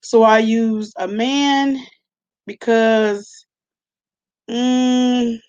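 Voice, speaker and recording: A woman's voice: on the high side, fairly even intonation, extremely slow, a little mellow, smooth, muffled recording. A woman hosting an online commentary channel, chatting casually and informally in a gossipy way, with salty slang and frequent check-ins with the listener. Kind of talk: casual chatty reaction vlog.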